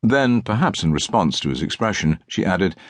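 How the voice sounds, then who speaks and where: A man's voice: sits low, sing-song, normal speed, dry recording, studio quality. A man reading a crime novel as an audiobook narrator, in scripted literary prose with precise diction.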